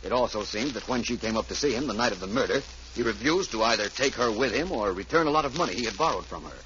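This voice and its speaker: An actor playing a shrewd, urbane private detective in an old-time radio drama, speaking in a polished, precise, explanatory way. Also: male voice, mid-range voice, animated, fast talker, fairly bright tone, close to an everyday voice. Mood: confident, explaining. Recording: muffled, hissy vintage radio broadcast recording.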